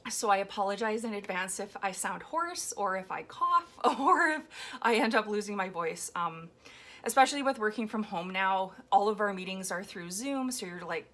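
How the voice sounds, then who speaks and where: A woman with a slightly hoarse, raw voice chatting casually about her collage process at home, rambling with frequent ums. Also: mid-range voice, expressive, normal speed, fairly bright tone. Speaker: collage artist. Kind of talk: casual commentary while making a collage. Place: home, indoors at a table. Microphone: overhead camera on a floor mount.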